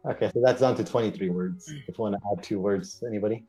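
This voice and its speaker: Man, lowish pitch, dark mellow tone, casual game talk, background music. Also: fast talker, slightly rough. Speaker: tabletop game player. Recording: music playing behind the voice.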